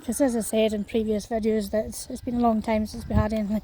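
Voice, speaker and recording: A Scottish woman vlogging as she walks along an outdoor path in a small town. Her voice is croaky, and her talk is full of fillers and restarts. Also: fairly high pitch, little pitch movement, fast, warm tone, slight background noise, poor audio. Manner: hesitant, many fillers and restarts. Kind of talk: walking vlog chat.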